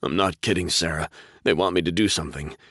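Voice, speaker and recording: Guy doing a fierce devoted young guy, deep voice, insistent, storybook read. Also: steady tone, quick pace, fairly bright tone, slightly rough, dry recording, studio quality, full character voice. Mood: earnest insistent. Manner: earnest, insistent. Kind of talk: audiobook character voice.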